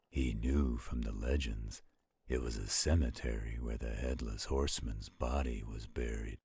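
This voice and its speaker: A man voicing a protective werewolf, reading a spooky story slowly and suspensefully in a husky, gravelly voice. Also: extremely low pitch, a little mellow, no echo. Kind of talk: slow dramatic ghost story narration, roleplay audio. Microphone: close studio-style microphone.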